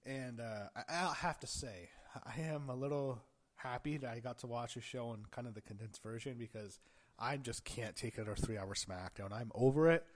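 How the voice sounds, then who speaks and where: Under-the-weather man recapping a show. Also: mid-range voice. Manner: rambling, frequent self-corrections and fillers; gasping and clearing throat at times. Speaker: wrestling show reviewer.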